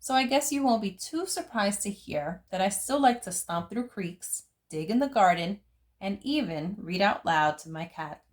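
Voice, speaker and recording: A woman reading a picture book aloud as a storyteller, phrasing it deliberately for young listeners. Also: medium pitch, lively intonation. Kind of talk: expressive picture book narration.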